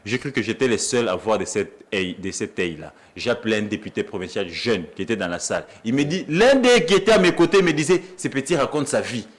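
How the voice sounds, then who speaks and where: A man's voice: on the low side, sing-song, fast talker, hardly any pauses. Emphatic Congolese man debating. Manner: emphatic, argumentative, repeats phrases. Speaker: journalist, debate guest. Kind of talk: animated political debate.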